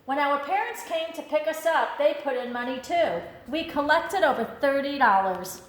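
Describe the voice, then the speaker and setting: A woman reading a children's picture book aloud in an expressive storytelling style. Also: sits high, normal speed, reverberant. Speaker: storybook reader.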